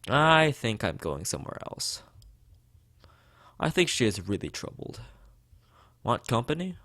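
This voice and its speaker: A man's voice: mid-range voice, very animated, unhurried, fairly bright tone, dry recording, studio quality. A man reading a visual novel aloud as a streamer, acting out dialogue, dropping in casual asides and sometimes tripping over words. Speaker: let's play streamer.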